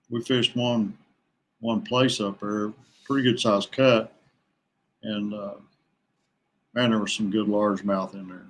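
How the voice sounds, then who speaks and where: A man speaking as a guest in a casual, conversational podcast interview. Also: lowish voice. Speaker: podcast guest, veteran kayak angler. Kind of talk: casual chat.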